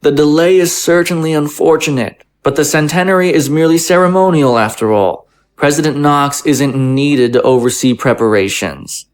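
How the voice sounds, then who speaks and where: A man's voice: on the high side, normal speed, natural voice. A man playing a conciliatory government official in an audio drama, arguing in a formal, articulate, persuasive manner. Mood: diplomatic. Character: smooth-talking, conciliatory male government official, argumentative.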